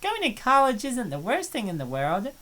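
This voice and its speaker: A bashful young college girl with an obsessive crush, voiced by a woman for a visual novel. Her voice sits high and swings widely, and she confides each line earnestly. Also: huge pitch swings, normal speed, fairly bright tone. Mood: confiding. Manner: earnest, confessional reading.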